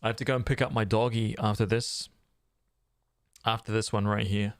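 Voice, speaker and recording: A man's voice: fairly low pitch, flat delivery, normal speed, no echo. A man streaming games, chatting casually in a laid-back, informal way into a close microphone. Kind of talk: casual livestream chat.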